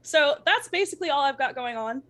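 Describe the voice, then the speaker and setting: Woman, slightly high voice, livestream guest, casual chat, gushing, playful, informal. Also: animated, a little fast, bright, forceful tone. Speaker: guest performer and streamer.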